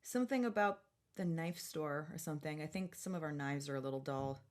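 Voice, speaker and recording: A woman with an American accent co-hosting a podcast in casual banter, telling stories in an animated, playfully sarcastic way. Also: low-pitched, a little fast. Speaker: podcast co-host.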